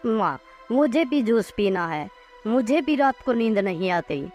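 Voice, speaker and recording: Lady doing a innocent little boy, highish swoopy voice, curious, cartoon story. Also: big pitch swings, unhurried, a little mellow, lo-fi sound, soft background music. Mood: innocent curiosity. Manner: childlike questioning. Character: curious, innocent five-year-old boy. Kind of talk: dramatised cartoon story dialogue.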